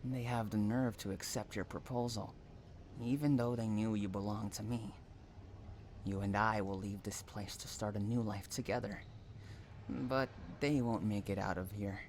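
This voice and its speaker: A man's voice: lowish voice, fairly even intonation, moderate pace, darkish tone, slight background noise, close to an everyday voice. A man playing an obsessive, unhinged kidnapper in a dramatic roleplay, cooing endearments mixed with threats straight at the listener. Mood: sweetly threatening. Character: obsessive, unhinged male stalker kidnapper, possessive and menacing lover.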